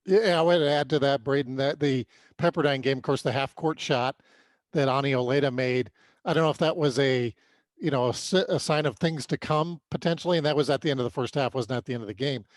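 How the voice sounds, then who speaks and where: A man's voice: medium pitch, a little fast, no room sound. A man co-hosting a sports podcast with conversational commentary, stammering a little over his words.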